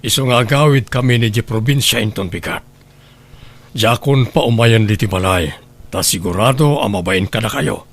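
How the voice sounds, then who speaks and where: A man playing an earnest lover and confidant in an Ilocano radio drama, with a husky voice. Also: lowish voice, normal speed. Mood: pleased, eager.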